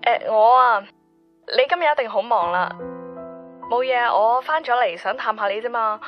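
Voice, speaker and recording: A woman's voice: a little high, moderate pace, soft background music. Considerate Hong Kong woman, acted, on muffled voicemail. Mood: tentative. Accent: Hong Kong Cantonese. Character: considerate former girlfriend leaving a casual farewell voicemail. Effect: telephone filter. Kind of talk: radio drama voicemail. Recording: dull voicemail phone line.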